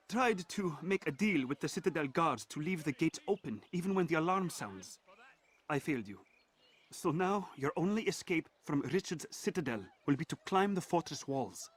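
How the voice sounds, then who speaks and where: An actor playing a frightened, servile informant in a video game, nervous and pleading. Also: male voice, sits high, a little hiss or hum. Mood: relieved, apologetic. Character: frightened, grovelling male informant, anxious and servile.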